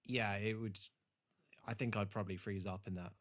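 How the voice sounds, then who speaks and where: A man's voice: on the low side, steady tone, moderate pace, a bit bright. A man co-hosting a re-watch podcast in casual chat, with a dry, emphatic, sweary way of talking. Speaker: podcast co-host.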